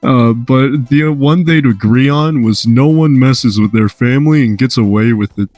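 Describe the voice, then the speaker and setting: A man's voice: medium pitch, moderate pace, a little mellow, no room sound, faint music behind. A man casually reading a story aloud, breaking off now and then to chat and swear. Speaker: amateur fan fiction reader.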